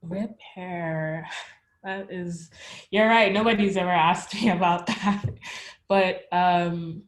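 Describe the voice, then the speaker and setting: Low flat-ish voice, woman, artist talking slow and thoughtful w lots of ums. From Nigeria. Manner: thoughtful, searching, with pauses and fillers. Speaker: artist interviewee. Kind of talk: reflective conversation.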